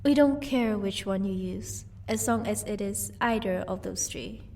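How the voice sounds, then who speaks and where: A woman's voice: medium pitch, measured pace, mellow, close to an everyday voice. A woman playing an amused, haughty vampire wife in an audio roleplay, teasing in a cool, matter-of-fact way. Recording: voice distant from the microphone.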